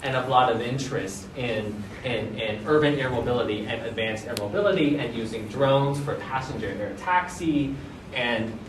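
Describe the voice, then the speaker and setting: A man's voice: mid-range voice, noisy background. Man lecturing in an echoey room. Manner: casual, frequent "right?" checks and restarts. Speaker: visiting researcher giving a seminar. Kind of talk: academic seminar talk. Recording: echoey room, mic at a distance.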